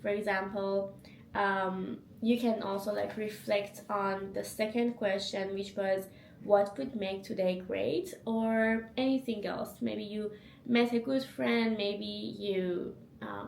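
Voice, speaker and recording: A woman's voice: fairly high pitch, creaky, roomy sound, slight background noise. A young woman with English as a second language, vlogging casually about her day from her apartment. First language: not English. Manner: chatty, upbeat, self-reflective. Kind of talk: casual vlog narration. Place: home apartment.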